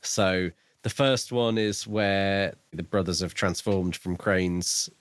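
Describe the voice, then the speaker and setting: A man's voice: low voice, steady tone, slow, no echo. A man co-hosting a podcast, discussing a book casually in a questioning, mildly pedantic way. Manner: questioning, self-admittedly pedantic. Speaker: podcast co-host.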